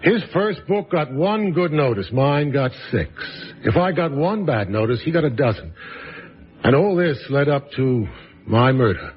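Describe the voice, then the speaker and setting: A man's voice: medium pitch, lively intonation, unhurried, slightly rough. A man acting in a radio drama as a once-celebrated writer, telling his story in a florid, genial, theatrical manner. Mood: genial, storytelling. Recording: dull lo-fi radio recording with music behind.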